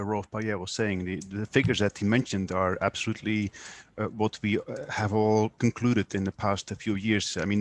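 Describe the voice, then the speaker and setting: Low-voiced man on a panel. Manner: measured, with many filler sounds. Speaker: panel speaker. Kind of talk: online panel discussion.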